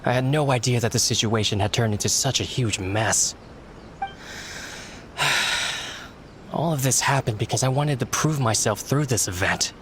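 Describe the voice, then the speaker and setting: A man's voice: medium pitch, moderate pace, long pauses, fairly bright tone, a little hiss or hum, close to an everyday voice. A man voicing an earnest young male book editor who speaks sincerely and apologetically. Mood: apologetic.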